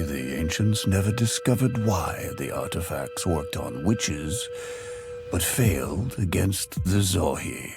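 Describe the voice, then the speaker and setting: An actor playing a wise, patient old tree creature who tells lore in a solemn, formal, gravelly voice for a fantasy game. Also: male voice, sits low, exaggerated voice.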